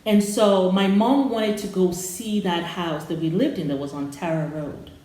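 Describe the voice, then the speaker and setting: A woman from Sierra Leone with a West African accent, co-hosting a casual chat and telling stories in an animated way, acting out the voices of the people in them. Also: fairly low pitch, moderate pace. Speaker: podcast co-host. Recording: very echoey room.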